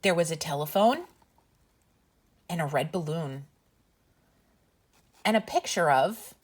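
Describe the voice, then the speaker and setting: A woman reading a bedtime picture book aloud for young children as a host, with deliberate phrasing. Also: sits low, lively intonation, husky. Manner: slow, deliberate phrasing for small children, drops into whispers.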